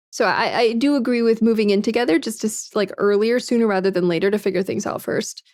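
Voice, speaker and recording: A woman's voice: on the high side, a little mellow, no echo, studio quality. A woman in her twenties, a livestreamer chatting casually with viewers, frank, swearing often and laughing a lot. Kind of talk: casual chat, reading and answering viewer comments.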